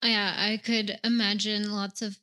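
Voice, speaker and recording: A young woman, a native English speaker, hosts a relaxed interview in a clear, smooth voice with a bright tone. She talks in a chatty, musing way, leaning on little asides while she thinks aloud. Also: medium pitch, measured pace. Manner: chatty and reflective, with many "like" and "you know" asides. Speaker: interviewer host. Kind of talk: casual interview chat.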